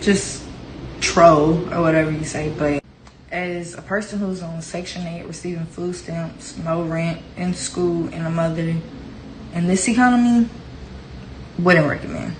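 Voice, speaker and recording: A woman's voice: lowish voice, steady tone, unhurried, echoey room, noisy background. A woman who is a single mother talks frankly and matter-of-factly about getting by on government aid. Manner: frank, matter-of-fact complaining. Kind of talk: candid selfie-video rant.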